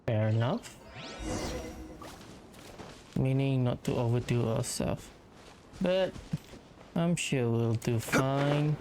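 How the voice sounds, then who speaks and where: A woman's voice: very deep voice, slow pace, long pauses, noisy background. A woman giving laid-back, casual let's-play commentary on a video game, muttering reactions and reading lines of dialogue aloud. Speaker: gaming channel host playing through a story quest. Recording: game music behind the voice.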